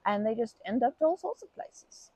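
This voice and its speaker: A woman voicing a kindly, chatty old grandma who rambles gently in a folksy, soothing way for an ASMR comfort roleplay. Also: a little high, big pitch swings, normal speed, no room sound, full character voice. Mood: chatty, fond. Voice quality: creaky, breathy.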